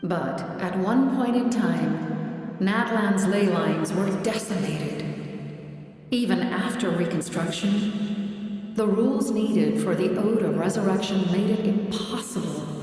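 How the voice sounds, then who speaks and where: An actress playing a solemn immortal female angel in a game cutscene, grave, formal and measured. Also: medium pitch, darkish tone, close to an everyday voice. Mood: grave, explanatory. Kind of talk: dramatic game cutscene acting. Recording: long cavernous reverb, faint music behind.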